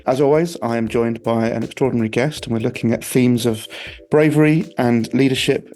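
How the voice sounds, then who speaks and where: A man's voice: mid-range voice, darkish tone. Guy hosting a podcast, eager, rambles a bit when he asks stuff. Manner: enthusiastic, rambling questions. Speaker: podcast host. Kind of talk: podcast interview.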